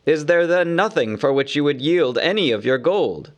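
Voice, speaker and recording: A man reading an audiobook, voicing a steady, grave human leader who speaks firmly and formally. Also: a little high, normal speed, voice pushed up, close to an everyday voice.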